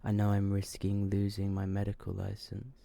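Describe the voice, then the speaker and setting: A man reading short horror stories in a soft, breathy, hushed ASMR style, often slipping toward a whisper. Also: lowish voice, monotone. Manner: slow, hushed, subdued delivery. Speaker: ASMR storyteller.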